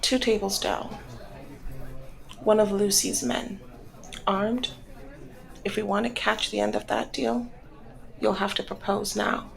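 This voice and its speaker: A woman's voice: mid-range voice, fairly even intonation, slow, gravelly, pauses often, poor audio. A woman playing a flirty, commanding female mob boss in an ASMR roleplay, talking in a teasing, coy and controlled way. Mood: urgent, controlled. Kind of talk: ASMR roleplay, scripted one-sided dialogue.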